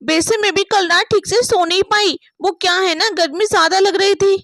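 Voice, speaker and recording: Haughty rich young bride played by a woman, very high voice, dry recording, taunting and spiteful, moral-story audio. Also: moderate pace, a bit bright, dry recording. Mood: mocking. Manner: taunting, sneering, dramatic.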